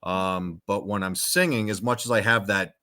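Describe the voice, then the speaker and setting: A man with a very deep, low-set voice chats as a podcast guest. He rambles comfortably, restarting his sentences and padding them with fillers. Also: fairly even intonation, normal speed, bright, forceful tone. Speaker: podcast guest, rock singer. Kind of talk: casual chat.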